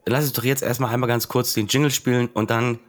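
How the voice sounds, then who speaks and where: A man co-hosting a casual podcast chat, slightly hoarse from a cold, talking haltingly with many fillers. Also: mid-range voice, steady tone. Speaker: podcast co-host.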